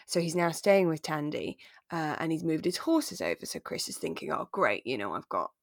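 A woman co-hosting a podcast in relaxed, informal, readily amused chat, recapping as she goes. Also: low-pitched, normal speed, vocal fry, no room sound, clean studio sound. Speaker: podcast co-host.

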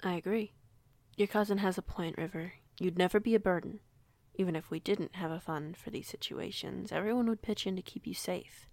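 A woman playing a young, kindly, protective werewolf pack alpha in an audio roleplay, measured and gently authoritative. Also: on the low side, no echo, lightly acted. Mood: kindly, assured.

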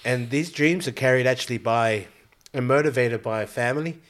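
A man's voice: medium pitch, brisk. A man, raised there, hosting a casual podcast from home and rambling with lots of fillers and restarts. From New Zealand. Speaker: podcast host.

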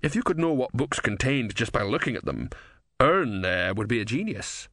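A man's voice: mid-range voice, very animated, moderate pace, fairly bright tone, no echo, studio quality. A man voicing a blithe old philosopher in an audiobook, breezy, wry and dismissive. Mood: brisk dismissal.

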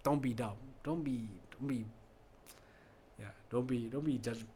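A man from Malaysia with a Malaysian English accent, hosting a podcast in casual chat, blunt and animated, swearing freely. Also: mid-range voice, measured pace, a little hiss or hum. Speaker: podcast host.

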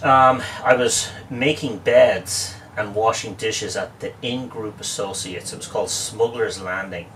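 Deep-voiced Dublin-accented man. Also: steady tone, a little slow, hardly any pauses, a bit bright, distant mic, a little hiss or hum. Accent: Irish, Dublin. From Ireland. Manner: chatty storyteller, wry jokes, frequent restarts. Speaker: guest, real estate expert. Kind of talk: casual interview chat.

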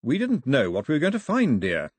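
A man's voice: mid-range voice, wildly swooping pitch, a little fast, slightly rough, no echo, clean studio sound, voice pushed up. A man doing a pompous fatherly official. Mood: placating. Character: stately, fatherly official, gentle but pompous.

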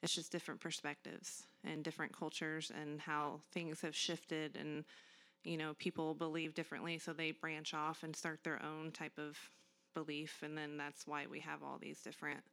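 A woman's voice: low-pitched, monotone, moderate pace, fairly bright tone. A woman co-hosting a podcast, sharing reflective thoughts in a meandering way. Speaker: podcast co-host. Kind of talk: casual conversation.

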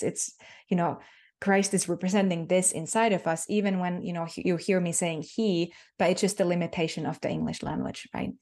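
A woman's voice: on the low side, darkish tone. A Finnish-accented woman, a guest on an interview, speaking earnestly about spiritual ideas and repeating herself with many fillers. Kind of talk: spiritual talk.

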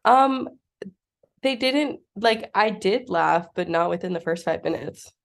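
A woman's voice: mid-range voice, expressive, a little slow, creaky. A young woman who is a graduate student, guesting on a podcast, chatting in a bubbly way, saying "like" often and laughing. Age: young adult. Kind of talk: casual interview chat.

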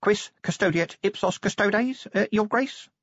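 Guy doing a fussy little official, polite n precise, voice pitched way up, bit muffled audiobook. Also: unhurried, breathy edge, dry recording. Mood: prim, polite. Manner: formal, precise, hesitant little openings. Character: small prim pedantic male government inspector, unflappably polite. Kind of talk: audiobook character acting.

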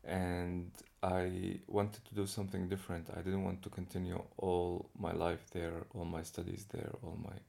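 Low gravelly-voiced young man, easygoing guest. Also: flat delivery, moderate pace, few pauses, mellow. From Saudi Arabia. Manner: easygoing, laughs often while telling stories. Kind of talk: casual conversation.

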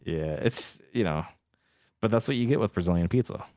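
A man co-hosting a podcast in casual chat, deadpan and rambling, with a lot of "like" and "uh". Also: on the low side, brisk, no echo, clean studio sound. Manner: deadpan, rambling, lots of fillers. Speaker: podcast co-host.

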